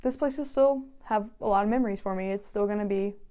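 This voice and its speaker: A woman's voice: medium pitch, quick pace, mellow. A woman in her late teens, a student stage manager, talking casually in an interview in a small office.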